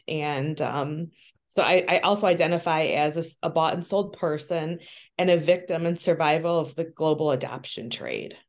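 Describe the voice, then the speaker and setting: A woman with a low voice gives an earnest, candid interview. She talks frankly about hard subjects, often pausing with "um" and restarting her sentences. She sounds like she is on a remote call. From Colombia. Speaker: interview guest, social worker.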